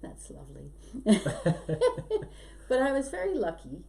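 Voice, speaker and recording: A woman's voice: mid-range voice, huge pitch swings, unhurried, gravelly, darkish tone. A middle-aged woman, born in Belgium and raised in Canada, chatting as a podcast guest and telling stories about her life. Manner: chatty and storytelling, laughs often. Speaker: hypnosis trainer, podcast guest. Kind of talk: casual interview chat. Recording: faint background noise.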